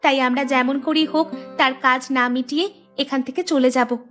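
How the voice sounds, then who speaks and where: A woman's voice: high-pitched, fairly even intonation, moderate pace, over music, natural voice. A woman voicing a troubled young village woman in a cartoon, respectful and plaintive. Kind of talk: cartoon dialogue.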